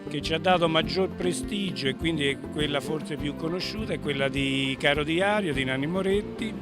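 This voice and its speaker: A man's voice: fairly high pitch, normal speed, vocal fry. A middle-aged man, a graphic designer, answering interview questions informally. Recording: music playing behind the voice.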